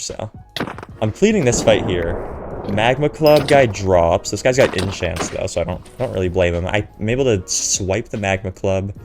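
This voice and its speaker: Stuffy-nosed young man with a very low voice. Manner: casual, filler-heavy, chatty. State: stuffy nose from a cold. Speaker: gaming commentator. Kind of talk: recorded gameplay voiceover commentary.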